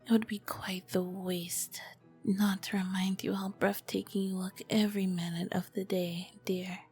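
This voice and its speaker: A woman playing an ancient, charming, flirty vampire queen in a whispered ASMR roleplay, with elegant, formal diction and a soft breathy voice. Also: medium pitch, level tone, measured pace, soft background music, close to an everyday voice. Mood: flirty, teasing.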